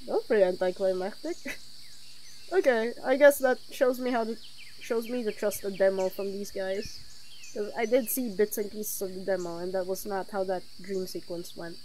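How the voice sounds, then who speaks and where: A young woman streamer chats casually through a horror game at a medium pitch. The recording is a little noisy, with faint ambient hiss behind her. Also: normal speed, darkish tone. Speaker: streamer playing a horror visual novel. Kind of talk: casual game commentary and reading aloud.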